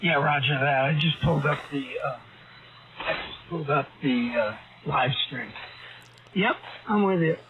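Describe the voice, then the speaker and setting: A man's voice: a little high, a little slow, background noise. A man chatting casually as an amateur radio operator over a ham radio transmission. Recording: narrow phone-like radio audio, crowd-like babble behind.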